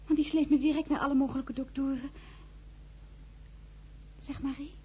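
A woman's voice: sits high, steady tone, normal speed, mellow, close to an everyday voice. An actress playing a general's impulsive, adoring young wife in an audio drama, emotional and girlish. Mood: indignant.

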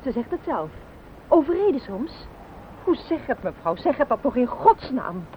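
A woman's voice: high voice, big pitch swings, normal speed. An actress playing a distressed wife who urgently and emphatically pleads for her accused husband in a radio play. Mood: pleading. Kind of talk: Dutch radio play acting. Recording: tinny, narrow-band noisy old radio recording.

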